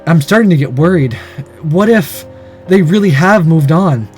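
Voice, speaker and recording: A man's voice: a little high, lively intonation, normal speed, a little mellow, soft background music, lightly acted. A man voicing a determined but anxious young male visual novel hero in earnest, dramatic inner monologue. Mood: worried.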